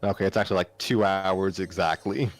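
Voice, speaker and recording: A man's voice: sits low, fairly even intonation, brisk. A man co-hosting a casual story podcast, chatting informally with friends about what was read. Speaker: podcast co-host and story reader. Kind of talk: casual group discussion after reading fan fiction aloud.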